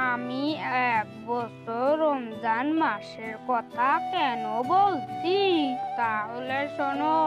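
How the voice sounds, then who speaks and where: Performer as male cartoon crow husband, high voice, slow, meek, earnest, loud music. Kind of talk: children's fairy-tale cartoon dialogue.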